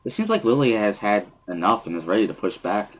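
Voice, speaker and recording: A man reading first-person story narration from a visual novel as a dramatic reading, with a husky voice. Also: lowish voice, animated, a little fast, low-quality recording.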